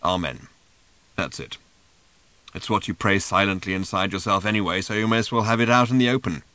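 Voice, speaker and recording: A man voicing a cryptic, mournful old male seer who delivers slow, drawn-out, sing-song pronouncements. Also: fairly low pitch, bright, forceful tone, no room sound, pitched below the performer's own voice, rough recording.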